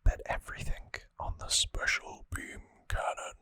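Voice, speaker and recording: Slow, breathy ASMR whisperer, very close. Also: dry recording. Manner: unvoiced whispering, gentle and hesitant. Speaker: ASMR video host. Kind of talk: whispered ASMR trivia recap. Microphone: close microphone, dry sound.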